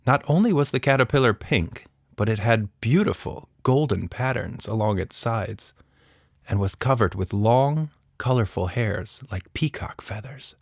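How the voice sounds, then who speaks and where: A man's voice: mid-range voice, lively intonation, a little slow, creaky, no room sound, clean studio sound. A man narrating a scripted children's story as a storyteller.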